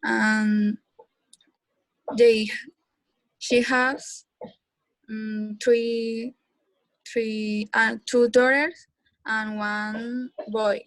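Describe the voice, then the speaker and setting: Teen Colombian girl, Spanish accent, exam candidate, flat slow halting delivery, dry sound. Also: fairly high pitch, dry recording, poor audio. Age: teens. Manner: hesitant, halting learner English with fillers. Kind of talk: speaking exam answers.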